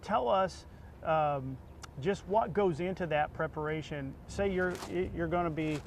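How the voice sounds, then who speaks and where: A man's voice: fairly high pitch, a little slow, a little hiss or hum. An American man speaking as the host of a conversational interview, putting questions to his guest.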